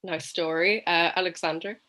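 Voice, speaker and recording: A man's voice: a little high, fairly even intonation, moderate pace, sharp tone. A man with an Irish accent hosting a casual interview over a video call, with lots of ums and short, friendly replies. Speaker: host.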